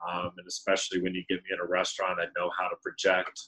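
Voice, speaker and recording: Man, deep booming voice, casual podcast storyteller, rambling. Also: completely flat delivery, quick pace, pushed and bright, rough recording. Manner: rambling with many fillers. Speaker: podcast guest, restaurant server.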